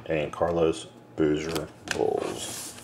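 A man breaking a box of trading cards on camera, reading out names and teams in a low mumble, casual and unhurried. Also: vocal fry, a little mellow. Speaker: card breaker. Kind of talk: casual card-break commentary. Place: small room indoors. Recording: noisy room with card shuffling.

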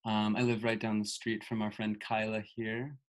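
A man in his twenties from Buffalo, introducing himself on a video call, soft-spoken and hesitant with small pauses. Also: on the low side, monotone. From Buffalo, New York. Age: 20s. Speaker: participant. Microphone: laptop webcam on video call.

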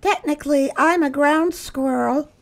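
A woman's voice: high voice, measured pace, creaky, voice pushed up. A woman voicing a small, pedantic ground squirrel from a children's storybook, matter-of-fact and nitpicking.

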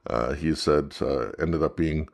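A man with a North American accent, co-hosting a casual podcast in a hesitant, measured way. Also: a bass voice, gravelly. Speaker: podcast co-host. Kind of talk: casual fan podcast chat.

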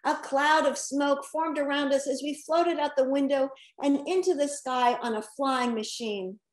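A woman's voice: high voice, measured pace. A middle-aged woman reading a picture book aloud expressively, with questioning asides to children, in a room at home. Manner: expressive, asks the listeners questions. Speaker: children's author and storyteller. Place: indoors, attic-style room at home. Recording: roomy home recording.

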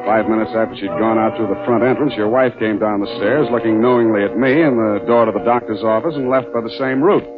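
A man playing a wry, flirtatious hard-boiled private detective in a 1940s radio drama, deadpan and wisecracking. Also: on the low side, quick pace, hardly any pauses, lightly acted. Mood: dry narrating. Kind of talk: 1940s radio acting, first-person crime narration. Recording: muffled 1940s radio broadcast, music behind.

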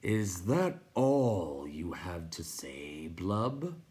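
A woman voicing a huge, blunt rock creature in a slow, crackly, gravelly whisper for a storybook. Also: very deep voice, sing-song, mellow, full character voice. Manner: slow, drawn-out delivery.

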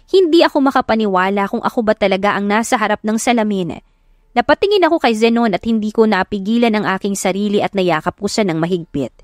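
A woman's voice: medium pitch, no room sound, studio quality. Filipino woman narrating over music. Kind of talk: dramatic first-person story narration. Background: background music.

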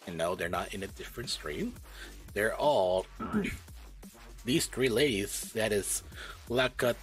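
A man's voice: lowish voice, animated, slow pace, soft background music. A man streaming a wrestling game, announcing matches and joking with his chat while losing his voice. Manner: giggly, chatty, jokes with chat. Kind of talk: playful wrestling ring announcing and commentary.

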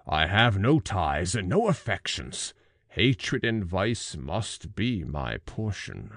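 A man's voice: sits low, dry recording, studio quality, voice pushed down, heavily acted. A man voicing an anguished, menacing monster in a gothic audiobook, bitter and pleading, with a grandly emphatic oratorical delivery. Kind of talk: gothic audiobook character reading.

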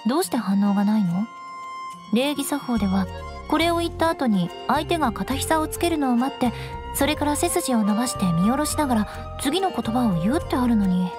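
High-pitched, haughty young noblewoman knight. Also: lively intonation, a little fast, over music. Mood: flustered. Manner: haughty, archaic aristocratic phrasing. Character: proud young noblewoman knight, haughty and formal, easily flustered. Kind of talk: Japanese game voice acting.

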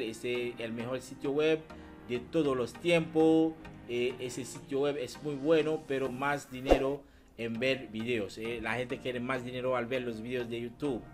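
Man chatting over faint music. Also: mid-range voice. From Haiti. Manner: repetitive, addresses viewers as "señores", many fillers. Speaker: channel host. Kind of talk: screen-share tutorial, talking to viewers.

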